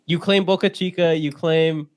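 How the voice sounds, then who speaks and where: Joking man with a slightly high voice. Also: steady tone, normal speed. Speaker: podcast co-host. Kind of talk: casual chat.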